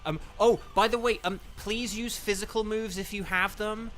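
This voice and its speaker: Casual game streamer, young man, bright and a touch high, chatty and rambling. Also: fairly even intonation, moderate pace, faint music behind. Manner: chatty, rambling with many fillers, reads and answers chat. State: slightly croaky from eating. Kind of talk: casual gaming livestream chat.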